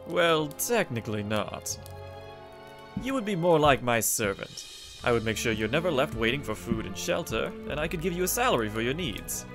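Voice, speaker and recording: A man playing a suave, sinister gentleman in a comic dub, theatrical and mockingly polite. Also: medium pitch, very animated, moderate pace, close to an everyday voice. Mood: smooth, controlled. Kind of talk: comic dub character acting. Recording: voice over background music.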